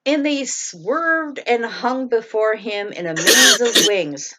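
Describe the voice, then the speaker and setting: A woman's voice: a little high, animated, takes it slowly, background noise. A woman reading a bedtime story aloud to her children, stumbling over hard words and laughing at herself.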